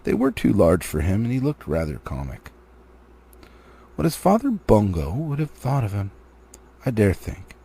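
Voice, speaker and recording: A man's voice: lowish voice, huge pitch swings, moderate pace, long pauses, warm tone, slightly rough. A man reading a fantasy novel aloud expressively, like an amateur audiobook, with the odd stumble. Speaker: amateur storybook reader.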